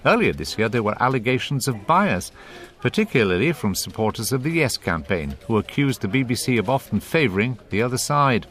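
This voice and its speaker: Guy presenting a radio report, scripted narration, really lively up and down delivery. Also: mid-range voice, brisk.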